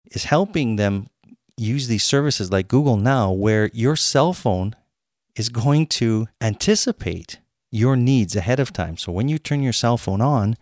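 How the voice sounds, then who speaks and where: Upbeat low-voiced male podcast host. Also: darkish tone, no room sound, studio quality. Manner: upbeat, promotional, explanatory. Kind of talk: podcast monologue and interview.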